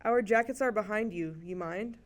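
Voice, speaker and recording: A woman's voice: mid-range voice, normal speed, close to an everyday voice. A woman playing a curt, scornful young raver in a scripted TV drama read. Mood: impatient.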